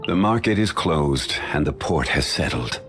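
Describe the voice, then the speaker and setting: An actor voicing a dignified, ancient, learned man in a video game, speaking in a measured, formal and courteous way. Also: extremely low pitch, lively intonation, a bit bright, soft background music. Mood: calm, formal.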